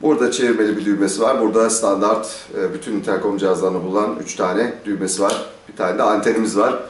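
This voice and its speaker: A man's voice: lowish voice, fairly even intonation, fast. Middle-aged man, echoey and off-mic. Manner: conversational, asks and answers own questions. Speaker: motorcycle gear reviewer, host. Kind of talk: casual explanatory product review to camera. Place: small stone-walled outdoor courtyard. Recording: roomy echo, distant microphone.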